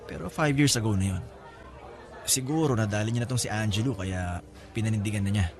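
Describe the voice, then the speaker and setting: Actor as low-voiced breezy, teasing older brother. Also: male voice, fairly quick, crowd noise, close to an everyday voice. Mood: teasing, confiding. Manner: teasing, casual, talkative. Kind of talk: Filipino radio-style drama dialogue.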